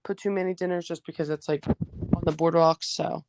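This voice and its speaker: A teenage girl from New Jersey, a high school basketball player, answering interview questions casually with many fillers; her first language was Greek. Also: fairly even intonation, moderate pace, background noise. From New Jersey, USA. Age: teens.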